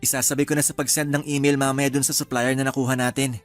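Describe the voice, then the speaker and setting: An easygoing young groom-to-be in a radio drama , acted by a man. He talks quickly and obligingly in a medium-pitched voice. Light music runs behind the dialogue. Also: little pitch movement, close to an everyday voice. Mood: easygoing, practical.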